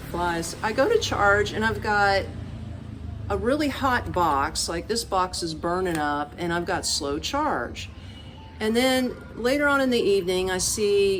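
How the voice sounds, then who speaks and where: An American woman vlogging casually, telling stories in an animated, colloquial way with direct asides to the viewer. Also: lowish voice, slight background noise. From United States. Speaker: expat vlogger. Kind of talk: casual chat to camera.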